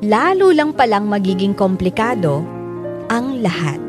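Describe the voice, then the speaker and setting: A woman's voice: medium pitch, very animated, measured pace. A woman playing a troubled young woman narrating her love story in a reflective, confessional radio drama style. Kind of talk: scripted first-person radio drama narration. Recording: loud music bed behind narration.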